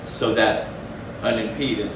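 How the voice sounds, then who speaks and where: A man narrating gothic horror as a dramatic stage reading, solemn and theatrical with deliberate phrasing. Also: on the low side, fairly even intonation, fairly bright tone. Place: indoor theatre, recorded from a distance. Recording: very echoey hall, far mic, noisy.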